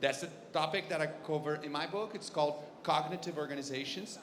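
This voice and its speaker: Brazilian guy on a conference panel, slightly high voice, crowd noise behind. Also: a little fast. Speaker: panelist, marketing company owner. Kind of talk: professional self-introduction on a panel. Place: stage at a conference. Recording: audible crowd in event hall.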